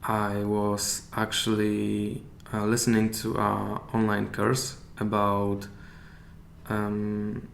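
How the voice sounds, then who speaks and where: A man with a Polish accent, a native Polish speaker, chatting as a podcast guest and often pausing to search for English words. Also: fairly low pitch, monotone, gravelly. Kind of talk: casual interview chat. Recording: echoey room with faint hiss.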